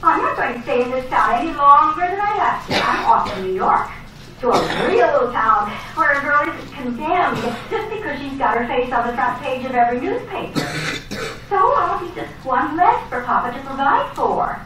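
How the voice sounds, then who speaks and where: Actress as vain young sister for vintage soap: high-voiced, defiant, brash, heard from afar in a very echoey space. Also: female voice, very animated, normal speed. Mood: boastful, brash. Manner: dramatic, brash. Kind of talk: old-time soap opera acting. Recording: echoey, distant old soap opera recording, faint noise.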